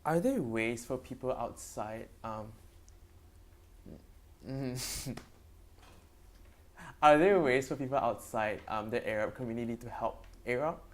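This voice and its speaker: A man asking informal interview questions in a hesitant, halting way. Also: medium pitch.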